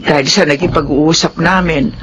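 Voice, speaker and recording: A woman's voice: deep voice, brisk, slight background noise, close to an everyday voice. A woman playing a remorseful, emotional mother in a radio drama, speaking hesitantly. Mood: anxious, subdued. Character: remorseful mother, weak and envious of her resilient daughter. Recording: radio drama with music behind.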